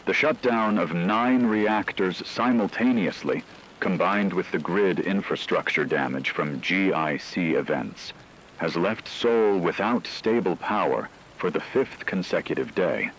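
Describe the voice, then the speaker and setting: A man delivering scripted documentary-style news narration in a measured, formal, grave manner. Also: sits low, expressive, a bit bright, a little hiss or hum, rough recording. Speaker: radio broadcast host.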